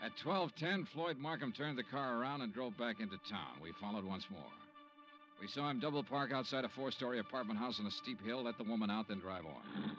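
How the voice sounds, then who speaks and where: An actor playing a cool, wry hard-boiled investigator, terse and dry, in a vintage radio detective drama. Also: male voice, medium pitch, expressive, over music, natural voice. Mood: cool narration. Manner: terse, dry, unhurried authority. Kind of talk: 1950s radio detective drama, narration and dialogue. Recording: dull vintage radio recording.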